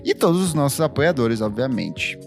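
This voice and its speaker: A man's voice: mid-range voice, sing-song, normal speed, vocal fry, darkish tone. A man from Brazil co-hosting a casual music podcast, reading listener comments aloud and teasing. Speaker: podcast co-host. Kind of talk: casual chat.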